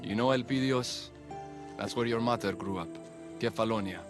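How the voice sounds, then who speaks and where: A man playing a gentle, brooding young father in a video game cutscene, soft and earnest. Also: medium pitch, moderate pace, music in the background, lightly acted.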